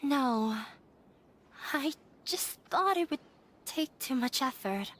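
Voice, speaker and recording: An actress voicing a timid young woman in a game who blames herself, speaking softly and apologetically. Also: high voice, animated, slow pace, exaggerated voice. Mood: downcast. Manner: soft, hesitant, apologetic. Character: timid young woman, self-blaming and withdrawn. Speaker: game character. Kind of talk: video game voice acting.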